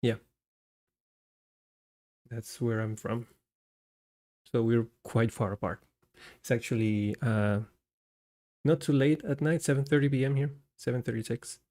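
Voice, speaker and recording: A man's voice: mid-range voice, steady tone, a little slow, long pauses, dark tone. A man from Costa Rica hosting a live coding stream, talking through his work and chatting with viewers. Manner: talks through code as he works, chatty with viewers.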